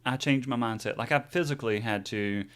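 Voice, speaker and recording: A man's voice: mid-range voice, a little fast, fairly bright tone, clear, resonant tone. A man in his forties co-hosting a podcast, chatting openly about his own experience in a rambling way, with many fillers and restarts. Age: 40s. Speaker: podcast co-host. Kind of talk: casual chat.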